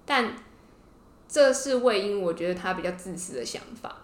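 Female podcast host, Taiwanese Mandarin accent, mid-pitched, casual explanatory storytelling, roomy echo. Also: expressive, moderate pace. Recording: roomy, echoing podcast room.

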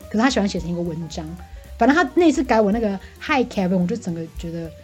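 A woman's voice: medium pitch, animated, fast talker, a little mellow, faint music behind. A woman with a Taiwanese Mandarin accent telling office anecdotes in casual, chatty, exasperated conversation. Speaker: podcast co-host venting about office life.

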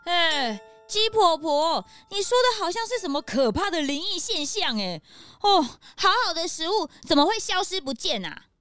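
A woman voices a curious little peanut boy in a children's radio play. The voice is high, dry and swooping, full of wide-eyed questioning in Taiwanese Mandarin. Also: sing-song, normal speed, a bit bright, no room sound, faint music behind, heavily acted. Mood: astonished. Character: perky, curious young male peanut sidekick. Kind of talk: children's audio drama.

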